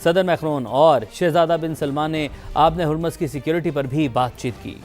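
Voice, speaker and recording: Male Pakistani anchor, high register, punchy scripted headline read over light news music. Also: moderate pace, a little mellow. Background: news music bed.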